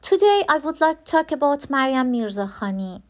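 A woman from Iran, a language student, reading a short prepared talk slowly and deliberately. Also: high-pitched, very animated. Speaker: ESOL student.

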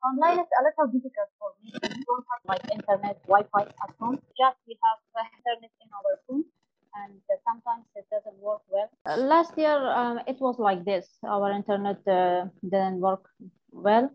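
A woman from Afghanistan, an ESOL student, answering hesitantly with many pauses and fillers. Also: medium pitch, no echo, lo-fi sound. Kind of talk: podcast interview answers.

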